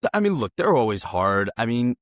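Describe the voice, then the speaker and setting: A man's voice: mid-range voice, sing-song, fairly quick, no room sound, clean studio sound. A man in his twenties hosting a casual sports interview, talking in a rambling, digressive way. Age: 20s.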